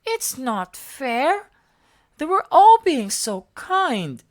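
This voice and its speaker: A woman's voice: high voice, sing-song, extremely slow, voice pushed up, exaggerated voice. A woman voicing a small, eager, kindhearted furry monster child for a storybook read-aloud. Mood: upset. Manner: refers to self in third person.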